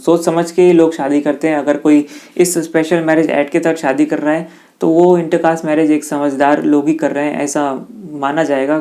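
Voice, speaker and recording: A man's voice: fairly high pitch, dark tone. A man with an Indian accent giving an informal explainer lesson, speaking conversationally to listeners and sometimes reading passages aloud. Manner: conversational, addresses listeners directly, reads English passages haltingly. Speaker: exam-prep teacher explaining law.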